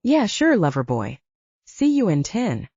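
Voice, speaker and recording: A woman voicing a brisk, unflappable, dry-witted woman in an audiobook, with a teasing, amused manner. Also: mid-range voice, wildly swooping pitch, warm tone, slightly rough, dry recording, dull audio, heavily acted. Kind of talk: audiobook dialogue acting.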